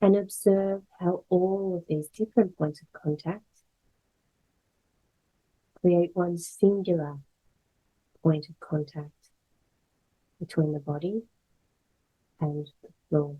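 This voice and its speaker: Low, husky woman guiding meditation. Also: fairly even intonation, phone-quality sound. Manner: measured, gentle phrasing with pauses. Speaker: yoga instructor guiding a meditation. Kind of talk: guided meditation, slow soothing instruction.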